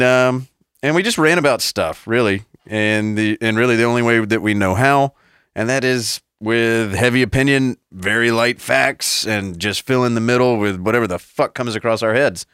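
A man's voice: mid-range voice, moderate pace, a bit bright, clean tone, no room sound, studio quality. A man co-hosting a casual podcast, rambling and profane, laughing often. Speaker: podcast co-host.

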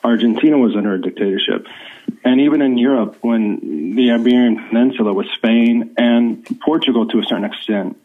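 An American man in his forties, a journalist guest, speaking in a rambling, halting way with many fillers. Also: mid-range voice. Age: 40s. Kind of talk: remote interview. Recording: tinny phone line.

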